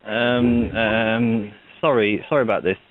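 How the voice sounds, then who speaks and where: A man on the phone who talks in a halting, hesitant way, full of ums and apologies. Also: lowish voice, steady tone. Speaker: prank caller. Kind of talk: phone prank call. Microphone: telephone. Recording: tinny phone line.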